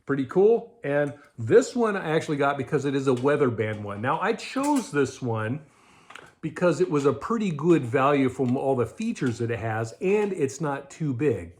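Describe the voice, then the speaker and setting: A man's voice: mid-range voice, sing-song, moderate pace, a little mellow. A middle-aged man with an American accent explains things conversationally, like a host reviewing gear informally to camera. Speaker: host of a budget prepping show. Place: indoors in a garage or storage room with shelves.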